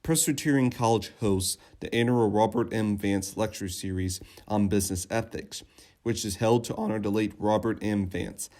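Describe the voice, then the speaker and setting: A man's voice: lowish voice, darkish tone. A young man narrating a scripted news segment as a student reporter. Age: young adult.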